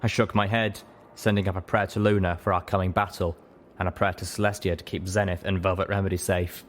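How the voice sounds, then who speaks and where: A man's voice: deep voice, little pitch movement, a little fast, a little hiss or hum. A man reading a story aloud as a dramatic first-person audiobook narrator. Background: music.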